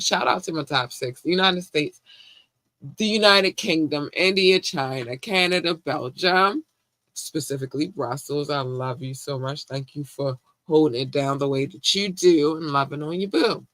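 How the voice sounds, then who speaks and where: A man with a Baltimore accent hosting a podcast, chatting casually and warmly shouting out his listeners in slangy talk. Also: a little high, no echo. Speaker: podcast host. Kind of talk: casual live-stream chat.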